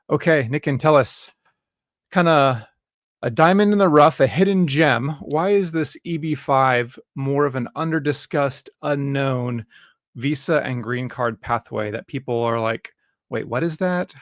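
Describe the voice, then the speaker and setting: An upbeat man hosts a webinar interview over a video call. His voice sits at a middle pitch. The sound is dry and close, and he leans on "uh" and "um" as he talks. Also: measured pace, creaky, no room sound. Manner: upbeat, fillers. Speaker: host. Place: Dallas, Texas.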